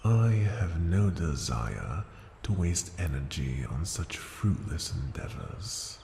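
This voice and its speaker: A man playing a proud, ancient dragon in an ASMR fantasy roleplay, speaking formally and deliberately in a gravelly, at times breathy voice. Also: rumbling low, very animated, warm tone, full character voice. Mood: amused, composed. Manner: slow, formal, deliberate, imperious. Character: ancient male dragon, proud, unhurried, intrigued by humans. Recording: cavernous long reverb, faint ambient noise.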